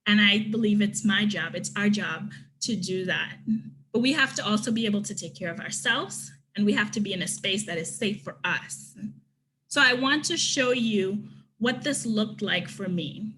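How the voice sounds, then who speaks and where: Earnest woman from trinidad running a workshop session, bright tone, a little hiss behind her. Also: mid-range voice, rough recording. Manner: earnest, reads out participants' comments and answers each one warmly. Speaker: conference presenter. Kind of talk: online workshop talk.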